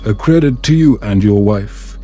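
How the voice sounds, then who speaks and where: A man voicing a gentle, easygoing, smooth-talking young prince in a fantasy bedtime story. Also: on the low side, lively intonation, normal speed, faint music behind. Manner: gentle, at ease.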